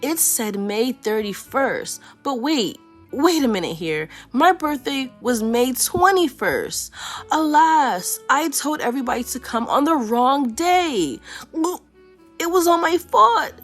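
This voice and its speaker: A woman reading a children's picture book aloud in a playful, expressive storytelling way. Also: high voice, slow pace, soft background music.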